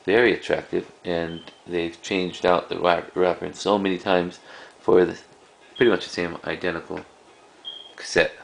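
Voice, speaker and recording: Casting: man, very low creaky voice, casual collector showing items, halting and unscripted, slight hiss of background noise. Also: flat delivery, low-quality recording. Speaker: cassette collector presenting a collection. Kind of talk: casual unscripted show-and-tell.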